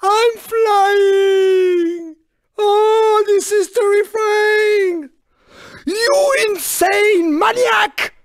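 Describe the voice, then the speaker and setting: Excitable French cartoon car, swooping high. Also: male voice, takes it slowly, creaky, no room sound, clean studio sound, heavily acted. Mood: angry, scornful. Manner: theatrical, excitable, haughty, exclamatory. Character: small, irritable, boastful, sneaky French male cartoon car. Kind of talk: video game voice lines.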